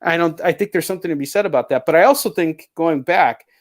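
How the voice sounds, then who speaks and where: A man's voice: a little high, fast talker. A man hosting a casual interview show, talking in a blunt, rambling way with plenty of swearing. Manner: rambling, heavily profane, blunt. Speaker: show host. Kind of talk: casual video chat interview.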